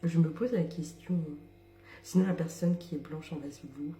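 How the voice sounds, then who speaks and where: A woman's voice: sits low, normal speed, dark tone, roomy sound, soft background music. A young woman from metropolitan France talking ironically to camera, laughing often and dropping into whispered asides. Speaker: social media creator. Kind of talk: casual monologue to camera.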